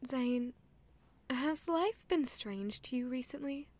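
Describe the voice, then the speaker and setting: A woman's voice: high-pitched, lively intonation, slow, a little mellow. An actress playing a polite, anxious young woman in royal service in an audio drama, earnest and a little hesitant. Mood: troubled. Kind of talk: scripted audio drama acting.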